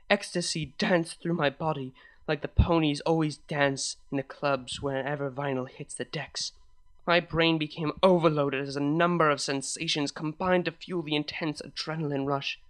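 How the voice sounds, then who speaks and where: Expressive narrator for first-person fan fiction, medium pitch, emotive dramatic read. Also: moderate pace.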